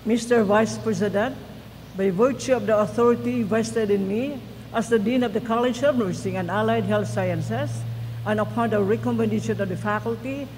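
A woman's voice: on the high side, normal speed, a little mellow. Woman dean in a reverberant hall. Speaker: college dean. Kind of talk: formal ceremonial address. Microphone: podium microphones. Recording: reverberant hall, faint noise.

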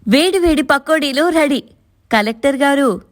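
A man voicing a patient, kind young wife who speaks gently and reassuringly in a storybook reading. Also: extremely high pitch, animated, unhurried, no room sound, clean studio sound, pitched above the performer's own voice. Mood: warm.

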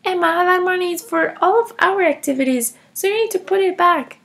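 A woman plays a kindergarten teacher in a comedy skit, firm and scolding. Her voice stays high with a gravelly fry. She lays down the rules sternly. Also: lively intonation, fairly quick, a bit bright, exaggerated voice. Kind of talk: comedy skit role-play.

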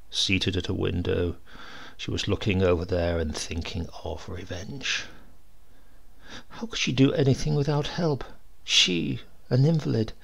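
A man reads a grim short story aloud in a low, gravelly voice. His delivery is grave and measured, with slow, dramatic phrasing. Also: a bit bright.

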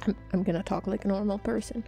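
A woman's voice: medium pitch, fairly even intonation, fast, gravelly, dark tone, faint music behind. A female podcast co-host talking in a normal voice in casual chat, dry, matter-of-fact and opinionated.

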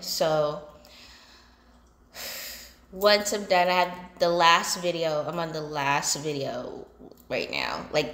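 A woman in her thirties chatting casually to camera in unhurried, short phrases with pauses, recorded on a phone in a large indoor room. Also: deep voice, fairly bright tone. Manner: unhurried, pausing between short phrases while busy with chores. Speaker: solo entrepreneur and vlogger. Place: large indoor basement-like room with exposed pipes. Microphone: phone propped at about arm's length or more. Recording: echoey room.

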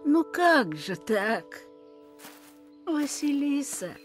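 A weary, affectionate old grandmother from a cartoon. Her voice is very high and swings up and down in slow, fussing phrases. Music plays behind. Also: big pitch swings, exaggerated voice. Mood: gently scolding. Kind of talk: cartoon voice acting.

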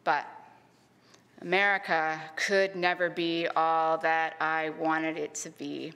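A middle-aged woman from Canada, a history lecturer, reads a prepared public lecture in a measured, earnest way with deliberate emphasis. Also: low voice, level tone, vocal fry, fairly bright tone. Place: lecture hall. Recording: long hall reverb.

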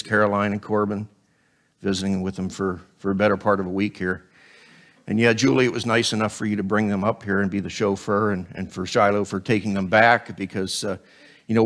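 Scratchy-voiced man preaching from a pulpit. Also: lowish voice. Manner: conversational, joking asides between scripture. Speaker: guest preacher. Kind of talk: sermon. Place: church stage at a pulpit. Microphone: headset mic.

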